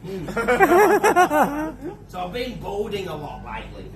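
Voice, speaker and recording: A man's voice: high voice, very animated, slow, crowd noise. A man with an Australian accent doing live stand-up comedy, crude and laughing at his own jokes. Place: comedy club stage. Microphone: away from the mic, unamplified. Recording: echoey room, distant, noisy.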